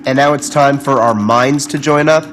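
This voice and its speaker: A man's voice: mid-range voice, normal speed, fairly bright tone, close to an everyday voice. An actor playing a sarcastic, defiant young male superhero in a video game cutscene. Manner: sarcastic, quippy. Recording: game music behind voice.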